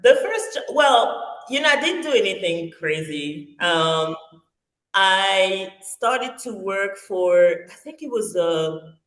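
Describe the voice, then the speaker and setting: A woman's voice: medium pitch, animated, slow, fairly bright tone. Hesitant woman in an echoey room. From Congo-Kinshasa, raised in France. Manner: hesitant, many fillers and restarts. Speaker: guest. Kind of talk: casual interview storytelling. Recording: echoey roomy sound.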